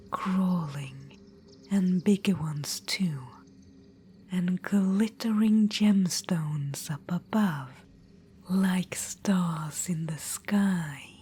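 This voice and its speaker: A woman voicing an ancient, proud witch-queen in an ASMR fantasy roleplay, whispering softly into a close mic and calling the listener darling. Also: on the low side, slight background noise. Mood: guiding, wondering. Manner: soft unvoiced whisper throughout.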